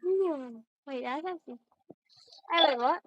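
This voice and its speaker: A woman's voice: high voice, a little slow, dull audio, heavy background noise. A young woman giving casual, rambling, exclamatory reactions while she plays a game, close to the mic. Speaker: game streamer.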